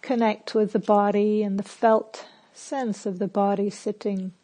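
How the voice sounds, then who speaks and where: A woman leads a guided meditation as a teacher. Her voice is dark and relaxed, and she speaks very slowly. She phrases each instruction gently and calmly, leaving long pauses between them. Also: medium pitch.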